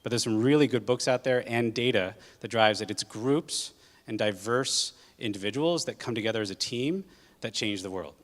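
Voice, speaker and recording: A man's voice: mid-range voice, normal speed. A man from Hawaii giving a casual, conversational talk to students as a guest speaker, with lots of filler ums and uhs. He says he is jet-lagged. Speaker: guest speaker, corporate general manager. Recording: long hall reverb.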